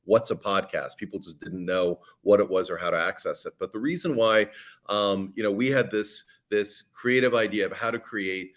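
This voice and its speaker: A man's voice: on the low side, normal speed, fairly bright tone. A man, a podcast guest, talking in a casual interview with many fillers and restarts.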